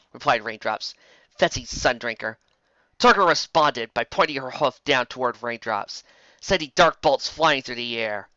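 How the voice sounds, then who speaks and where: A man reading fantasy fiction aloud as an expressive amateur storyteller, now and then stumbling over a word. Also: mid-range voice, dry recording, lo-fi sound. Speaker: story narrator.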